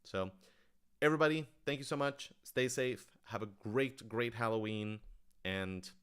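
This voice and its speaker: A man's voice: mid-range voice, a little slow, clear, resonant tone. A man hosting a casual livestream Q&A as a writer-director, rambling and thinking aloud as he talks to his audience.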